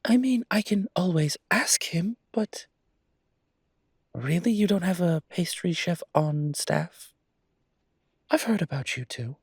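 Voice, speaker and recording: Man playing a courteous, higher-voiced coffee shop owner. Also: expressive, slow pace, vocal fry, pauses often, husky, no room sound, clean studio sound, exaggerated voice. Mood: friendly. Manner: courteous, formal, effusive. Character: Trevelyan, polite, eager male coffee shop owner. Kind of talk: roleplay audio drama acting.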